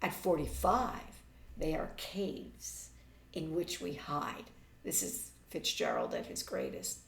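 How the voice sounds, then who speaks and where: A woman's voice: sits low, huge pitch swings, slow, gravelly. A middle-aged woman teacher reading a story aloud at home. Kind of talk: story read-aloud with brief teaching asides. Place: living room or home office, indoors. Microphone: webcam at a little distance. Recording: roomy, slightly distant webcam sound.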